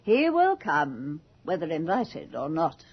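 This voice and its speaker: Imperious, husky old society hostess. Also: female voice, sits low, wildly swooping pitch, slow, heavily acted. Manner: haughty, grand, drawling. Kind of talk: radio drama acting. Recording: muffled 1980s radio drama recording.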